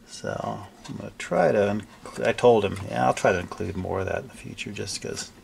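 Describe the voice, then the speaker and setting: Older man with a gravelly, creaky voice. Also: on the low side, a little hiss or hum. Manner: halting, thinking aloud while working with his hands. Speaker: hobbyist restorer presenting a repair video. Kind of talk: casual unscripted repair narration. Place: indoor basement-like home workshop.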